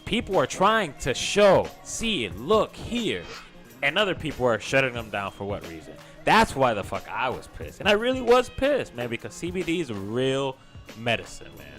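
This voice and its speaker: A man cohosts a casual podcast. He talks in a heated, profane way with a lively, rising and falling pitch and cuts in often. Faint music plays underneath. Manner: heated, profane, quick interjections. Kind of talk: casual chat.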